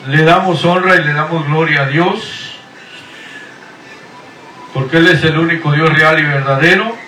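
A middle-aged man preaches in a slightly raised, bright voice, stretching out each blessing at an unhurried pace. He sounds set back from the microphone in an echoey room, with faint ambience behind him. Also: a little high, slight background noise. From Guatemala. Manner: slow, drawn-out, earnest blessing delivery. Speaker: pastor. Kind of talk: preaching and radio greetings. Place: Houston, Texas. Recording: echoey room, voice from far off the mic.

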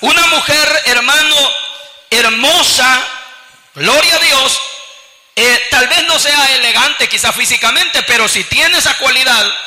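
A man's voice: extremely high pitch, measured pace, bright, forceful tone. A man from El Salvador with a Salvadoran accent, preaching a fervent sermon and often calling out to the congregation as brothers. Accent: Salvadoran Spanish. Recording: long reverb of a large hall.

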